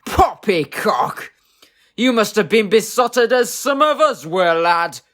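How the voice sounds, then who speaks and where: A woman's voice: medium pitch, lively intonation, slow, a bit bright. A woman playing a blustering, sceptical villager, pompous and dismissive, in an audio drama. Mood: scornful.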